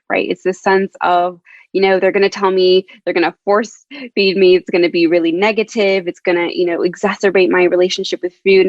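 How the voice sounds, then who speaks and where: A woman's voice: mid-range voice, no echo. Upbeat Canadian woman on a dull, dry line. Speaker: dietitian, podcast guest. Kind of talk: casual interview talk.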